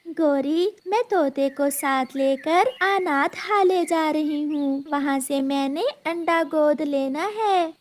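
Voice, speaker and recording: Woman voicing a gentle, high-pitched cartoon mother bird. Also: slow pace. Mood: earnest. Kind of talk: Hindi children's cartoon voice acting.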